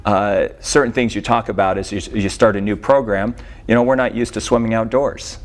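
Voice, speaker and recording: Man, low voice, sports coach, casual interview answers, hesitant and measured. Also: fairly even intonation. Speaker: college swimming head coach.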